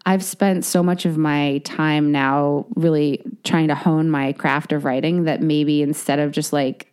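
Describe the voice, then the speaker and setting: A woman's voice: low-pitched, fairly even intonation, unhurried, a little mellow, clear, resonant tone. A woman in her late thirties, an author, talking reflectively in a casual interview and searching for words with many fillers. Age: 30s. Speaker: author guest.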